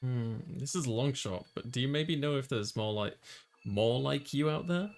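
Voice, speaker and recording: A man's voice: mid-range voice, normal speed. A man hosting a let's play, chatting casually and reading a visual novel's lines aloud. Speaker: host, gamer reading player character lines.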